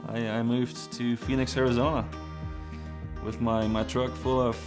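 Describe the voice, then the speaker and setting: A man from Belgium, an engineer, telling his own story in a relaxed, reflective way with fillers and restarts. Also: mid-range voice, unhurried. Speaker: trigger design engineer. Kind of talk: documentary-style personal narration. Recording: music bed underneath. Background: guitar music.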